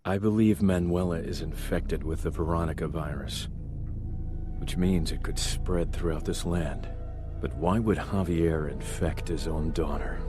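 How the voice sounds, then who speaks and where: A grave agent delivering dramatic game narration. His voice is very low and brooding, with loud music beneath every phrase. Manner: serious, brooding. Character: grave male government agent recounting a case.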